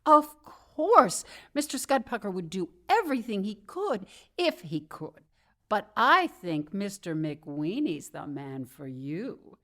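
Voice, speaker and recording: A woman's voice: mid-range voice, big pitch swings, slow pace, fairly bright tone. An actress playing a smart, wary young switchboard worker in a comedic radio drama, wry and mock-polite. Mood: brisk, scheming. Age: young adult.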